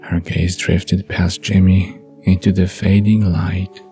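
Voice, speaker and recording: A man narrating a scripted bedtime audio drama in a husky, gravelly, hushed storytelling voice. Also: low-pitched, flat delivery, slow, soft background music.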